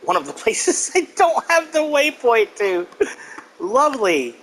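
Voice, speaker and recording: A man's voice: very high voice, very animated, unhurried, a bit bright, slight background noise. A man giving casual let's play commentary in a wry, mock-exasperated way, with game music behind. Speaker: gaming let's player.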